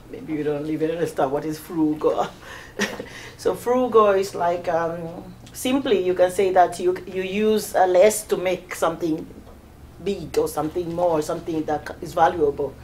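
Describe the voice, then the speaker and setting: A woman's voice: on the low side, fairly even intonation, measured pace, darkish tone, a little hiss or hum. A woman in her thirties from Tanzania, giving an informal talk to a small audience, hesitant with many fillers and restarts. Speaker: guest speaker.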